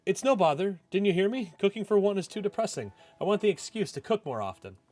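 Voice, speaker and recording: A man voicing a cheerful, caring young woman in a visual novel reading, eager, softly insistent and quick to apologise. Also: sits high, very animated, pitched above the performer's own voice. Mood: earnest, insistent.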